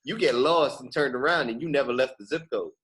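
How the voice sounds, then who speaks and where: A man's voice: medium pitch, a little fast, fairly bright tone. A man in casual, opinionated chat, speaking emphatically in slang and driving points home by repetition.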